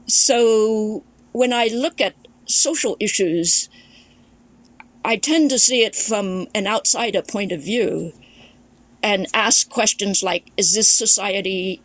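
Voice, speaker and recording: A woman who grew up in Thailand as a native English speaker, giving a relaxed interview in a slow, thoughtful way with pauses and restarts. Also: medium pitch, low-quality recording. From grew up in Thailand, raised bilingual. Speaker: interview guest, memoir author.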